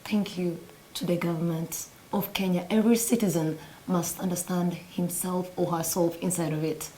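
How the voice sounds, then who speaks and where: A woman's voice: lowish voice, fairly even intonation, normal speed, dark tone, slight background noise, poor audio. A woman answering interview questions as a refugee, a bit hesitant and searching for words.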